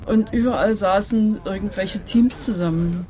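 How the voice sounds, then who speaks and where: A woman's voice: mid-range voice, normal speed, mellow. An older woman reminiscing casually among long-time radio colleagues. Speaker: veteran radio studio colleague.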